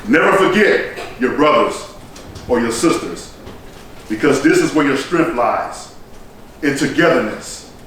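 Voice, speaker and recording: A man performing a theatrical monologue like a rousing speech, solemn and emphatic, with deliberate dramatic pauses. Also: medium pitch, animated, fairly bright tone. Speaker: stage performer delivering a monologue. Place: echoey performance space, recorded from a distance. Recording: roomy echoing hall, distant mic, faint noise.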